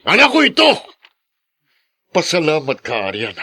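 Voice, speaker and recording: A man acting an enraged, unforgiving middle-aged father who scolds forcefully in a Tagalog radio drama. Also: on the high side, huge pitch swings, moderate pace, slightly rough, exaggerated voice. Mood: angry, scolding. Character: enraged, unforgiving father of a college-age daughter.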